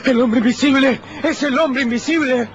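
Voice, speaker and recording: An actor playing an anxious, hunted doctor in a radio drama, speaking with urgent agitation. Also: male voice, very high voice, normal speed, background noise. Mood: panicked. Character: anxious male doctor hunted by an invisible man. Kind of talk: Spanish-language radio drama acting. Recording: dull sound under loud music.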